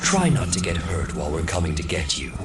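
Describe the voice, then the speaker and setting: A man playing a calm, ominous telepath in an audio drama, measured, knowing and detached. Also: low voice, over loud music.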